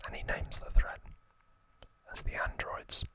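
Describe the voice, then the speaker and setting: A man speaks in a breathy ASMR whisper with a very bright, crisp edge. He explains things slowly and deliberately, leaving pauses between short hushed phrases, as a host guiding the listener along. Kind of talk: whispered ASMR explainer, scripted-feeling trivia retelling with asides.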